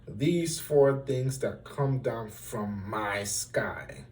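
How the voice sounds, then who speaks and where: A man's voice: medium pitch, very slow, darkish tone, recorded from a distance. A man voicing a hot-tempered, imperious old storybook king in a bellowing, emphatic, commanding manner. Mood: angry, demanding.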